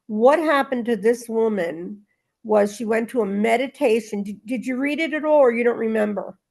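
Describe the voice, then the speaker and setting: A woman's voice: fairly high pitch, moderate pace, gravelly, a little mellow. A woman talking casually and reading aloud in a spiritual discussion group, in a rambling, emphatic way that circles back on itself.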